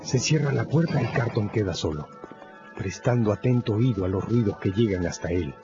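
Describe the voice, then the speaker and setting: A man narrating a scripted radio drama in a measured, grave storytelling manner. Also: lowish voice, lively intonation. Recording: dull radio recording with loud music. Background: loud music.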